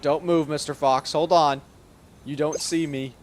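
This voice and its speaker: A man's voice: on the high side, steady tone, measured pace, slight background noise. A man chatting casually on a gaming livestream in a playful, self-deprecating way. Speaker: game livestreamer. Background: game music.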